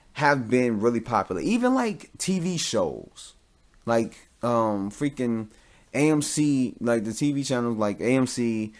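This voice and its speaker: A man hosts a casual solo podcast in a medium-pitched voice. He talks informally and with plenty of slang, giving his opinions as loose, conversational commentary. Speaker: podcast host.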